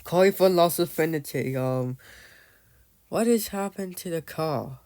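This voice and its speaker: A man's voice: a little high, a little slow, a little mellow, clear, resonant tone. A man giving casual, rambling commentary on his game, often losing his thread and calling out to viewers as "guys". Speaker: gamer narrating his play.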